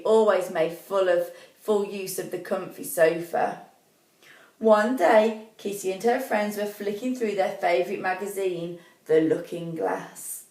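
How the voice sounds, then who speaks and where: A woman reading a children's story aloud expressively and deliberately, as a school storyteller. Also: medium pitch, darkish tone. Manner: deliberate, prompts young listeners with questions. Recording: echoey room, distant microphone.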